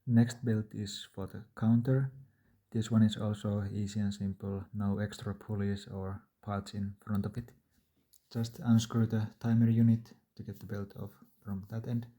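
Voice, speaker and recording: A man's voice: on the low side, level tone, moderate pace, warm tone. A man narrating a do-it-yourself repair tutorial in a plain, matter-of-fact, step-by-step way. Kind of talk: instructional DIY narration.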